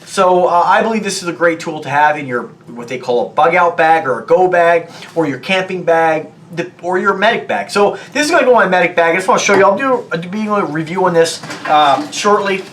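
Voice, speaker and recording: A man's voice: high voice, lively intonation, brisk, few pauses, a bit bright. A middle-aged man reviewing a product in a casual, rambling vlog, upbeat and chatty, with lots of fillers.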